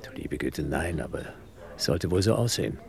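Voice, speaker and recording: An actor playing a troubled theatre director under suspicion in a German radio drama, earnest and probing. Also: male voice, extremely low pitch, expressive, a little fast, gravelly, exaggerated voice. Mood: urgent, probing.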